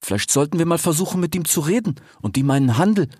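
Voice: medium pitch, expressive, quick pace, darkish tone, breathy edge, no echo, clean studio sound, voice pushed up. A man voicing a cautious, peace-minded male fennec fox in a storybook, pleading and adding little verbal tics. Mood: wary. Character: cautious, pacifist male fennec fox, haggling merchant type.

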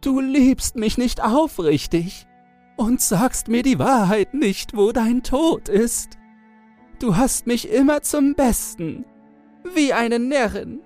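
A man voicing a young fairy-tale princess in an airy falsetto, sweet, pleading and falsely affectionate. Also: animated, slow, darkish tone, soft background music, exaggerated voice. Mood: tearful pleading.

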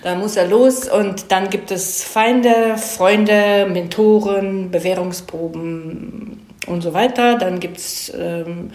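A woman's voice: mid-range voice, steady tone, slow, darkish tone. A woman explaining her craft conversationally as an interviewed screenwriter, feeling for phrases and often ending on tag questions. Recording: roomy, echoey sound.

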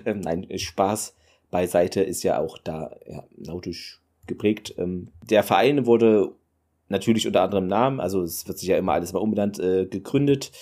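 A man's voice: deep voice, normal speed, warm tone. A man hosting a solo football podcast, talking in a loose, rambling way with many fillers and enthusiastic asides. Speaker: podcast host.

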